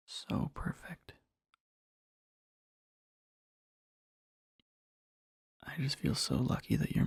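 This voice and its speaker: A man playing a devoted, sleepy boyfriend in an ASMR roleplay, murmuring tenderly and haltingly in a husky whisper, close to the microphone. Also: mid-range voice, darkish tone, dry recording, heavily acted. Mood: tender, adoring. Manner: slow, halting, rambling, tender. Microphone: close-up microphone, dry.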